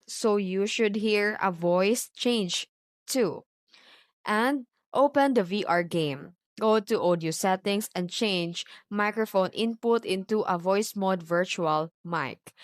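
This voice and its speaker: A woman's voice: medium pitch, no room sound, studio quality. A woman giving scripted, step-by-step tutorial narration in short instructional phrases.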